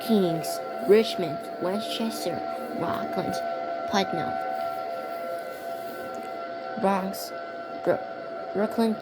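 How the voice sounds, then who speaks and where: A woman reading a scripted emergency broadcast announcement in a halting way, repeating and stumbling over words. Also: medium pitch, over loud music, rough recording.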